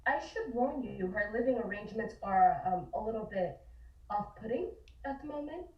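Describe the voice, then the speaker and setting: A woman playing a courteous, guarded sanitarium orderly in a script table read, with a measured, hesitant delivery. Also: mid-range voice, animated, natural voice. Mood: guarded, polite. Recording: echoey, muffled, distant call audio.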